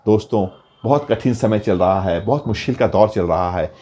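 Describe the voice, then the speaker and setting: A man telling a motivational story in an earnest, deliberate way, with rhetorical pauses. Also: fairly low pitch, warm tone.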